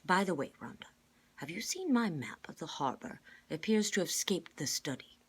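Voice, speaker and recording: A woman reads as a kindly gentleman in charge in a storybook. The voice is low and husky, courteous, with a calm, deliberate manner. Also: heavily acted. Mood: wry.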